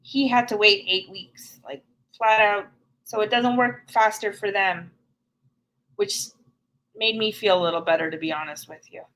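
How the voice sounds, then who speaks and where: A woman's voice: medium pitch, moderate pace, a bit bright, low-quality recording. A middle-aged woman from Canada, speaking earnestly and frankly as a guest in an interview. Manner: earnest, frank and plain-spoken. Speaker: podcast guest. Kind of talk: candid conversational interview.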